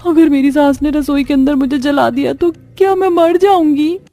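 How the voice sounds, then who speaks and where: An anxious young bride from a cartoon family drama, acted by a woman narrator. Her voice sits high, pleading and emotional. She speaks with nervous suspicion. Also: steady tone, normal speed, mellow, no room sound, clean studio sound. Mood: fearful. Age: young adult. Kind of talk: cartoon story dialogue.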